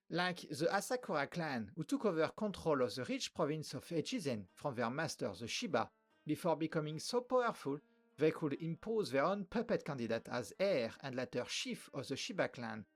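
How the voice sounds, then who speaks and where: A man's voice: mid-range voice, darkish tone. A man from France with a French accent narrating a history video, with wry joking asides. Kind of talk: scripted narration.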